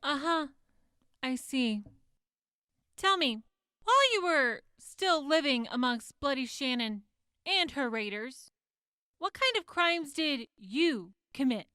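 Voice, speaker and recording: A woman's voice: high-pitched, lively intonation, slow, pauses often, bright, forceful tone, no room sound, clean studio sound, exaggerated voice. A woman playing a skeptical, mock-polite prosecutor who draws out pointed questions in an audio drama courtroom. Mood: probing, mock-polite. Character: skeptical, needling female prosecutor in wasteland court.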